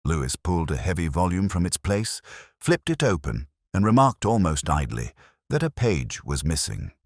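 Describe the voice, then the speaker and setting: A man playing a brooding, scholarly middle-aged detective who narrates his mystery case in a measured, literary, melancholy way. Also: extremely low pitch, no echo, studio quality, heavily acted. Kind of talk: scripted first-person mystery narration.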